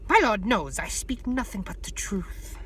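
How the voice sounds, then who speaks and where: A man voicing a fanatically devoted dark witch in a storybook reading, breathy, constricted and fawning. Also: expressive, unhurried, heavily acted. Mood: fawning devotion. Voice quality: breathy, constricted, high-pitched. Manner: fawning, fervent. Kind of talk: dramatic audiobook character reading.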